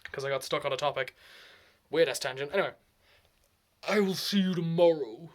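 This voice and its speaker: A man's voice: a little high, a little fast. A man vlogging alone, rambling and laughing as he slips in and out of put-on voices and accents. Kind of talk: rambling solo vlog.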